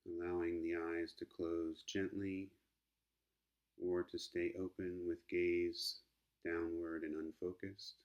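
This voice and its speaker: A man leading a guided meditation as a teacher, speaking gently and calmly with long unhurried pauses. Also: sits low, lo-fi sound.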